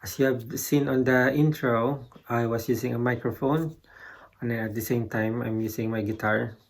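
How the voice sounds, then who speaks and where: A man with a Filipino accent giving a casual, unscripted guitar gear demonstration, haltingly thinking aloud as he goes. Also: mid-range voice, steady tone. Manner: halting, thinking aloud while pointing out settings.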